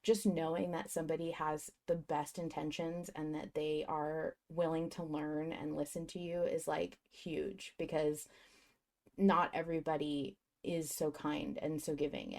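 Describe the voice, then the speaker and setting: Low-voiced, earnest woman hosting. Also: a little mellow. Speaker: podcast host. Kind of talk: casual reflective chat.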